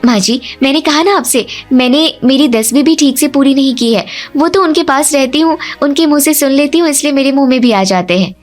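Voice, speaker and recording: Woman acting a high-voiced, earnest young daughter-in-law. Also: brisk, hardly any pauses, soft background music, natural voice. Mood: defensive, polite. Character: young educated daughter-in-law, clever, polite but insistent.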